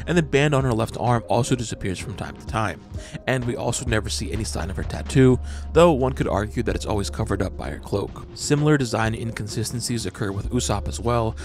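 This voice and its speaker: A man giving a measured, analytical scripted narration as host of a manga breakdown channel. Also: mid-range voice. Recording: voice-over with music underneath. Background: background music.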